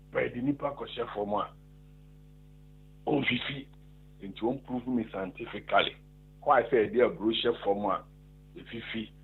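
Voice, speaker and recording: A man's voice: mid-range voice, husky. Ghanaian man on a tinny phone line. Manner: emphatic, mixing Twi and English. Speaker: radio caller. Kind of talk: phone-in discussion.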